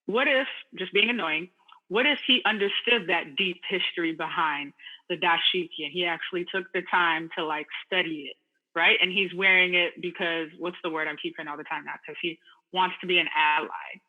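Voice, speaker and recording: A man co-hosting a podcast, talking quickly and playfully in a casual chat. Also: high-pitched, narrow, tinny audio. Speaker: podcast co-host.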